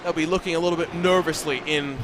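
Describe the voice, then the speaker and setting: A man calling a sim race play-by-play, fluent and excitable, with playful banter. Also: on the high side, fast talker, noisy background. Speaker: sim racing lead commentator. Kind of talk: live play-by-play commentary.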